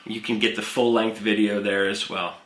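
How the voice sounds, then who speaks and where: Guy hosting a music interview, low but bright tone, lots of mm-hmms, echoey. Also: normal speed. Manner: relaxed, affirming listener. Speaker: interview host. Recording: echoey room.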